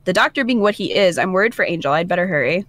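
A woman co-hosting a gaming video, reading visual novel narration with playful, expressive asides. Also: on the low side, very fast, no room sound.

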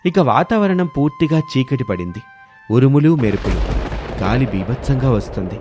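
Guy narrating a scripted family story, mid pitch, music going behind him.

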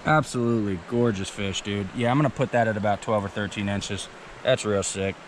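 A young man vlogging as he fishes outdoors by a creek, chatting in a relaxed, eager way into an action camera he wears. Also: fairly low pitch, fairly quick, slight background noise. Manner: relaxed, chatty, enthusiastic about fish. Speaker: fishing vlogger. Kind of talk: casual outdoor commentary. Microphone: action camera on the body.

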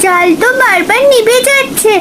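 Very high-pitched little village girl. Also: normal speed. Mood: worried. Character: anxious young village daughter, a girl child. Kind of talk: cartoon voice acting. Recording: clearly noisy, loud rain-like background.

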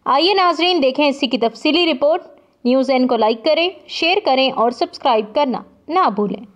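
High-voiced woman news anchor. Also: lively intonation. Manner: formal, measured bulletin delivery. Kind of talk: scripted news reading.

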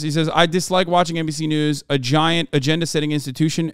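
A man's voice: a little high, fairly bright tone, smooth, no room sound, studio quality. A man in his thirties whose first language is Turkish, reacting to news clips on a live stream in a profane, emphatic, exasperated way. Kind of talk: casual reaction commentary. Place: home streaming room. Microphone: studio microphone on arm.

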